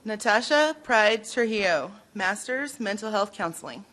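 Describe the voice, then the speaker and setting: A woman announcer reading out graduates' names and degrees in a measured, formal way. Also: medium pitch, animated, a bit bright, poor audio. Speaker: ceremony announcer.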